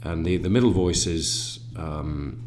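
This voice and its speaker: A man's voice: low voice, slow pace, a little mellow, a little hiss or hum. A man, a cellist in a string quartet, talking thoughtfully in an interview with many fillers and restarts. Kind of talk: reflective conversational interview.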